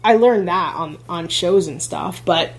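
A chatty woman on a casual podcast, her voice a little husky. She talks loosely, piling on fillers and laughing lightly as she explains. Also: lowish voice, unhurried. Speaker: podcast co-host, rental host.

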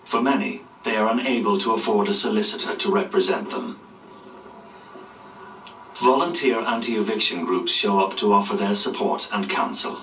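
A man's voice: fairly low pitch, fairly even intonation, normal speed, pushed and bright, slight background noise. A man reading scripted narration for a television documentary. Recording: roomy, distant re-recording off a television.

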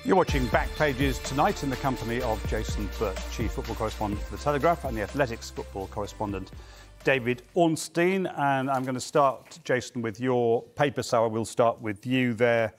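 A man presenting a sports news show, reading links in a crisp, polished way. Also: medium pitch, normal speed, over music. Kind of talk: scripted TV presenting.

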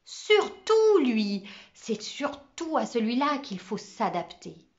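A woman voicing an excitable schoolboy who leads his class, talking urgently and loudly in a storybook reading. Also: fairly high pitch, slow. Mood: excited. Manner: urgent, pleading, loud. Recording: slightly muffled recording.

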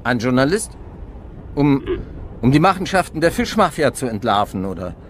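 An actor playing a reflective, questioning detective in a radio play. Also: male voice, mid-range voice, sing-song, moderate pace, a little hiss or hum, close to an everyday voice. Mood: pondering. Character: pondering male detective.

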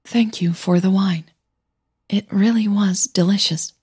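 Woman acting uneasy, soft-spoken husky young singer. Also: mid-range voice, fairly even intonation, a little slow, voice pushed up. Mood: uneasy, apologetic. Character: uneasy, polite young woman opera singer, forcing calm.

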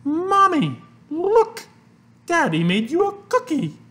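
A storybook reader voicing an eager young boy, higher and louder, calling out to a parent. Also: huge pitch swings, slow pace, heavily acted. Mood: eager, excited. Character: eager young boy offering a cookie trick.

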